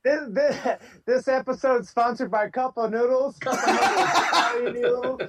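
A man co-hosting a podcast, cutting in with crude quick jokes. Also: very high voice, very noisy. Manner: crude joking, quick interjections. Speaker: podcast co-host. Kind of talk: casual chat.

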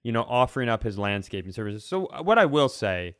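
A man with a clear, smooth voice and a dry, close sound. He tells stories casually as a comedy podcast host, in animated bursts broken by pauses. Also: medium pitch, dry recording. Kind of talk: casual comic storytelling.

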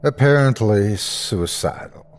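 A man playing a bright, earnest young male hospital resident in a story, stubbornly curious and conversational. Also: on the low side, lively intonation, slow pace. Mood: amused.

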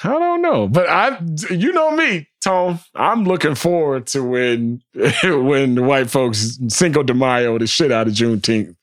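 A man's voice: medium pitch, lively intonation, unhurried, no echo, clean studio sound. An American man hosting a sports podcast, talking casually and bluntly, with slang, swearing, jokes and phrases repeated for emphasis. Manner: blunt, joking, slangy and profane. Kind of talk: casual opinionated sports talk.

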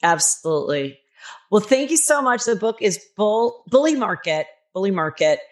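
A woman's voice: medium pitch, very animated, normal speed. A woman hosting a podcast, interviewing an author and affirming her answers. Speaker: podcast host.

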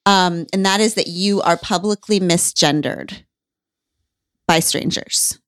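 A woman's voice: fairly low pitch, slow pace, smooth, no room sound, clean studio sound. A woman hosting a podcast conversation, leading her guest with wry, lightly dramatic asides. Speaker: podcast host. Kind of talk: conversational interview.

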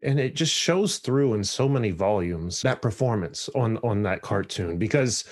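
A man's voice: on the low side, lively intonation, normal speed, a little mellow. A man hosting a casual podcast interview, talking with the enthusiasm of a longtime fan. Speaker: podcast host.

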